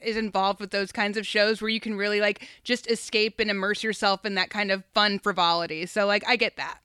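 A woman's voice: medium pitch, little pitch movement, fairly quick, pushed and bright, clean tone, dry recording. A woman hosting a podcast in casual chat, informal and emphatic, with plenty of slang. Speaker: podcast host.

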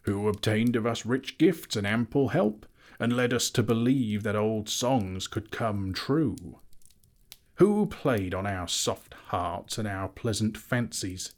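A man voicing a wary, pompous old town master who speaks loud and clear in his own defence. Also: on the low side, unhurried, natural voice. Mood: indignant.

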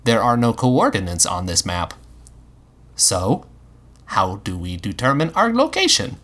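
A man's voice: fairly low pitch, sing-song, unhurried, a bit bright, heavily acted. A man voicing a polite, curious male robot companion who speaks in formal, precise words in an audiobook. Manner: formal, precise, courteous wording. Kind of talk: audiobook character voice.

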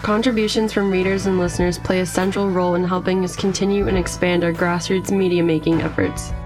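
A woman's voice: on the low side, little pitch movement, fairly quick. A woman reading a scripted podcast announcement as the show's announcer. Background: guitar music.

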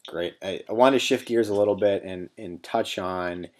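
A man with a low voice hosting an interview. He feels his way into each question, circling back with fillers and restarts. His tone stays soft and conversational. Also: normal speed. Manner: hesitant, many fillers and restarts, soft-spoken. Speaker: interview host.

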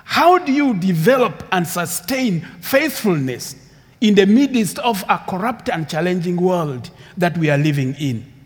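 A man from Uganda with a Ugandan English accent, preaching an earnest sermon as a guest speaker, repeating phrases and building emphasis. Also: sits high. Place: church sanctuary with long reverb. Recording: reverberant church hall.

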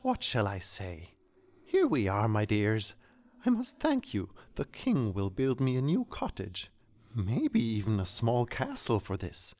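A self-satisfied little schemer from a storybook, acted by a man. The delivery is gushing and fussy, very slow, with wildly swinging pitch. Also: wildly swooping pitch, a little mellow. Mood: gushing, smug.

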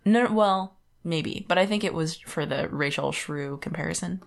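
A woman's voice: deep voice, normal speed, creaky. A woman co-hosting a podcast, chatting casually about books in an informal, rambling way full of "like". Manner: informal, filler-heavy with frequent "like". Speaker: podcast co-host. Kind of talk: casual book discussion chat.

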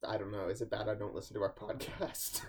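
A man's voice: fairly low pitch, level tone, a little fast, a little hiss or hum. A man co-hosting a casual movie podcast, laid-back and hesitant, sometimes drifting off the microphone. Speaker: podcast co-host and editor. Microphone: podcast microphone, sometimes far from it.

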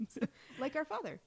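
Female podcast co-host, slightly high voice, informal, giggly, joking recap banter. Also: creaky.

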